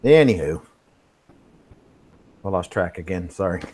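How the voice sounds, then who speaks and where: A man's voice: low voice, lively intonation, a little slow, warm tone, slightly rough. Rambling man in his fifties. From Texas. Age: 50s. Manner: rambling, swears, loses track. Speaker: host. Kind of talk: casual live stream chat.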